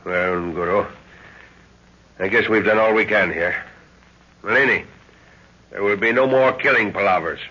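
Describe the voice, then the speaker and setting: Authoritative adventurer, forceful, rough-edged, clipped stagey delivery, 1930s radio drama, muffled recording. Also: male voice, lowish voice, normal speed, pauses often. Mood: grave, resolute. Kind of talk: 1930s radio serial acting.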